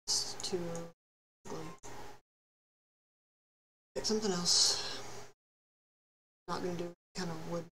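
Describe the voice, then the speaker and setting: A teenage guy from Texas with a high, youthful voice, chatting loosely while he plays a game on stream. He rambles and pokes fun at himself in a flat, level tone. His headset mic picks up a clear hiss of background noise. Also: slow, long pauses, low-quality recording. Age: late teens. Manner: rambling, self-deprecating, laid-back. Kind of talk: casual live-stream chat while playing. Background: ambient noise, thunder outside.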